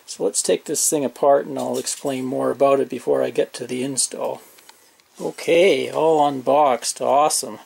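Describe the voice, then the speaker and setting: A man's voice: medium pitch, fairly even intonation, moderate pace, vocal fry, a little mellow, husky. A middle-aged man talking casually while showing and installing gear in and on his RV, explaining each step with plenty of fillers and restarts. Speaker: RV owner reviewing gear. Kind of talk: casual unboxing and install walkthrough. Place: inside an RV, later up on its roof outdoors.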